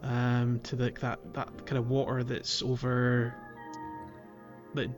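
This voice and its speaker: A man's voice: mid-range voice, completely flat delivery, slow pace, lo-fi sound, over music. Rambling man on a gaming podcast. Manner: rambling, hesitant with many fillers. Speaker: podcast co-host. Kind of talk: casual gaming podcast chat.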